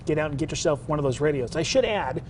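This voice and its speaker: A man's voice: on the high side, expressive, fast, a little mellow, a little hiss or hum. A man presenting weather safety advice as a TV forecaster, in a conversational broadcast style.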